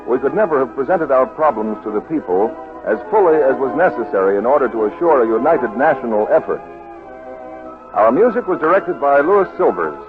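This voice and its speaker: A man reading scripted announcements as a formal 1940s radio announcer. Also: medium pitch. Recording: tinny 1940s radio with music behind.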